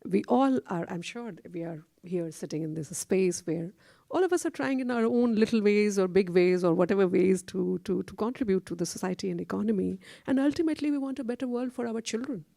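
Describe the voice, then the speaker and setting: A woman's voice: mid-range voice, animated, fairly quick, gravelly, dark tone, dry recording, studio quality. A woman on a discussion panel, answering a question in an earnest, reflective way.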